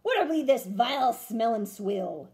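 A woman's voice: a little high, expressive, measured pace, warm tone. A woman voicing a blustering, bossy male pirate captain in a storybook, hollering in pirate dialect. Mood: bellowing.

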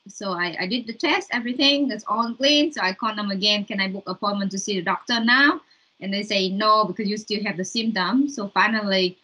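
A woman's voice: mid-range voice, pushed and bright, poor audio. A young woman from Vietnam with a Vietnamese accent, chatting casually in an interview over a video call. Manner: chatty, with fillers and non-native grammar. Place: room at home, indoors.

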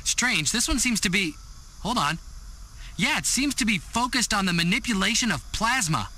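A man's voice: animated, moderate pace, full character voice. An actor playing an eager, nervy young male tech lieutenant, earnestly briefing his team in a video game. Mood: excited, puzzled. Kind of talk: video game cutscene acting. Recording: loud music and vehicle rumble behind.

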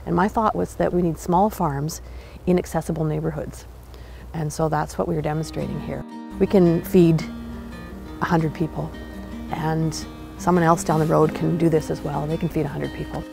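An older woman, an urban farmer, talks in an earnest, relaxed way with fillers in an outdoor documentary interview. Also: sits low, moderate pace, vocal fry. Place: outdoors on a farm. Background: guitar music.

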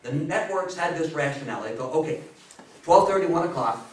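American man in his sixties, higher-pitched voice, theatrical lecturer, echoey room, distant camera mic. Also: brisk. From New York State, US. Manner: animated, theatrical storyteller with rhetorical questions. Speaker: lecturer giving a history talk. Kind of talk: interactive public talk to an audience. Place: small meeting room with seated audience. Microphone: far camera.

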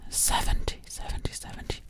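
A woman's voice: fairly quick, close to an everyday voice. A young woman voicing a sassy, bossy alien girl in an ASMR roleplay, whispering breathily up close and repeating short phrases insistently. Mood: insistent, curious. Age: young adult. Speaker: ASMR performer. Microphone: close to the mouth.

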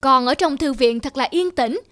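A woman voicing a pompous, greedy rat in an animated fable, boastful and condescending. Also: high voice, normal speed. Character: pompous, sly, greedy library-dwelling rat. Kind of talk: animated fable character acting.

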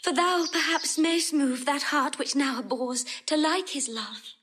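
An actress playing a proud, passionate, lovesick noblewoman in classical verse drama, with a breathy voice. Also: high voice, unhurried. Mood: yearning. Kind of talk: classical verse drama acting, recorded audio drama.

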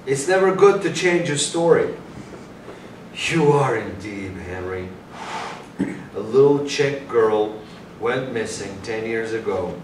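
Guy acting stern old-time cop grilling a suspect, slow and menacing, recorded roomy from far off. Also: medium pitch, lively intonation. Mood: quietly menacing. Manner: interrogating, menacing, deliberate. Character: stern, relentless male police detective in 1910s Chicago. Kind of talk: staged play reading, theatrical. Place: small indoor venue, before a seated audience. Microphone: far camera. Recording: roomy distant stage recording with noise.

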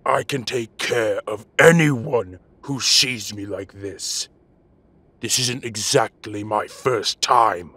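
Man acting a gruff, forceful dragon mafia boss. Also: fairly low pitch, slow pace, no room sound, full character voice. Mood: irritated, scolding. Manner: barking, bossy, scolding. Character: gruff, commanding half-dragon mafia boss, impatient but protective. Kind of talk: audio roleplay acting.

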